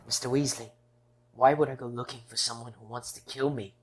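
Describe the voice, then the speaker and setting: A woman's voice: a bass voice, fairly even intonation, a little fast. A woman playing a polite, modest but brave thirteen-year-old boy wizard in a fan audio drama, speaking hesitantly and apologetically. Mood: resolute. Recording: clear background noise.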